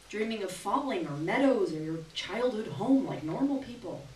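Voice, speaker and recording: A young adult woman giving an expressive, dramatic reading of literary fiction on stage, acting out the dialogue. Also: a little high, a little hiss or hum. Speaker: performer reading a short story. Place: small indoor gallery with audience. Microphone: camera at a distance from stage. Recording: echoey room, distant microphone.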